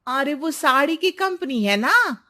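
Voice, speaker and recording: Woman acting high, bright gossipy neighbourhood lady. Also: expressive, slow, exaggerated voice. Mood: gossiping. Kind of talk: Hindi cartoon moral story acting.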